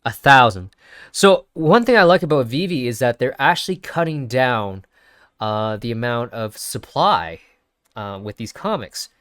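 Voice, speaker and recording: A man's voice: mid-range voice, lively intonation, unhurried, a bit bright, clear, resonant tone, dry recording, clean studio sound. Sniffly man rambling through a screen share. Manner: rambling, thinks aloud with many fillers and restarts. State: sniffly, sneezing. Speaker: crypto video host. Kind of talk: casual screen-share commentary.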